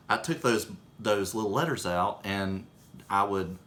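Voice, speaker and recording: A man casually telling rambling anecdotes in conversation, halting and correcting himself as he goes. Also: on the low side, a bit bright. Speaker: storyteller recalling his own childhood.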